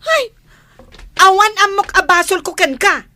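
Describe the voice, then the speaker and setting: A woman's voice: very high-pitched, lively intonation, unhurried, pushed and bright, exaggerated voice. A woman with an Ilocano accent playing a squawking, combative village woman in a comedy radio drama. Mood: indignant. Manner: squawking, exclaiming. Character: shrill, combative village woman brawling with friend. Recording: clearly noisy background.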